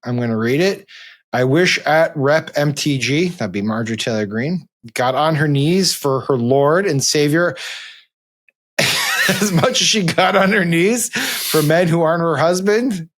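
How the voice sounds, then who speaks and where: A man's voice: on the high side, a little slow, a bit bright. Amused, incredulous man hosting casual chat. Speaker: host.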